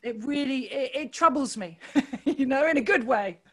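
A woman with a loud voice, talking earnestly in an interview, pausing often with fillers and restarts. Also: high-pitched, expressive. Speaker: podcast guest, running club founder. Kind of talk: reflective conversational interview.

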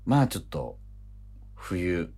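Animated man rambling through a story. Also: low-pitched, takes it slowly, slightly rough. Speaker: radio show co-host. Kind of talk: casual radio chat. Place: radio studio.

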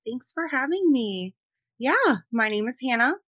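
Middle-aged woman, from Rhode Island, podcast guest, friendly and chatty, bright crisp voice, dry close sound. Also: fairly high pitch, lively intonation, normal speed, dry recording. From Rhode Island, USA. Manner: friendly and chatty, with frequent fillers. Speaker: guest, military spouse and veteran. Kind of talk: conversational podcast interview.

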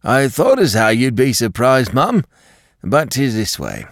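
Man voicing an old village gardener, folksy, obstinate, swooping pitch, dry sound. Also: sing-song, measured pace, no room sound. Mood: cheerful. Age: older. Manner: folksy rustic dialect, chuckling. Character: old male village gardener, stubborn and placid.

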